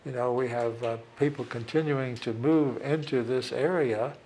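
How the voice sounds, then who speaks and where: Reflective church leader, unhurried. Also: male voice, medium pitch, fairly even intonation, a little mellow, a little hiss or hum. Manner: reflective, hesitant with fillers. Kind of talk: documentary interview.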